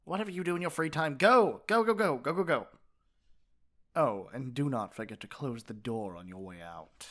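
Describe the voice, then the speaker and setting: A man playing a pompous, easily exasperated supervillain in an ASMR roleplay, theatrical and sarcastic with a softer caring side. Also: mid-range voice, lively intonation, moderate pace. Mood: flustered, annoyed. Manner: pompous mock-grand monologuing that slips into flustered sarcasm. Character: theatrical gloating male supervillain, exasperated, secretly caring nemesis.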